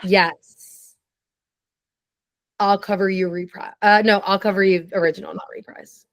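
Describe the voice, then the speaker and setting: A young woman with a low, raspy voice and steady vocal fry, answering questions in an eager, chatty way. Her pitch sits mid-range for a woman, and the sound is close and dry, with no room echo. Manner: chatty and enthusiastic, quick tangents. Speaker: podcast guest. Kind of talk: casual chat.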